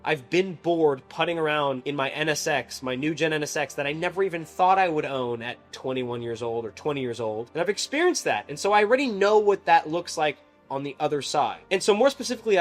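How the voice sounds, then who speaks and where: Higher-pitched young man speaking earnestly over music. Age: 20s. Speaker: life coach and video creator. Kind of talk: motivational monologue to camera.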